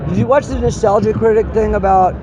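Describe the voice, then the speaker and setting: A man interviewing casually, with an excitable, slangy, rambling manner. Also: high-pitched, quick pace, crowd noise. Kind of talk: casual chat. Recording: clearly noisy, outdoor urban ambience.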